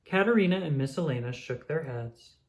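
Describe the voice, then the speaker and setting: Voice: medium pitch, animated, fairly quick. A storybook reader narrating expressively for young children. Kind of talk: expressive scripted read-aloud narration.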